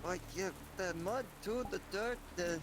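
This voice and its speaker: A man's voice: high voice, unhurried, a little hiss or hum. A man voicing a dim, apologetic street sweeper with a stammering, hesitant delivery in an improvised roleplay. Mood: flustered, apologetic. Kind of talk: improvised virtual reality roleplay. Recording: music over the voice.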